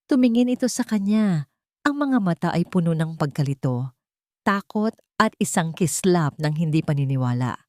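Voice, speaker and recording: A woman's voice: on the low side, expressive, normal speed, mellow, dry recording, studio quality. A woman reading a scripted, dramatic audio story as a storyteller.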